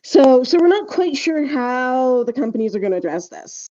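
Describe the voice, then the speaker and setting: Higher-pitched woman podcaster, mellow tone. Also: very animated, normal speed, lo-fi sound. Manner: emphatic, hedging with frequent "like" and "right?". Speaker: podcast host. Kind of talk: casual explanatory discussion.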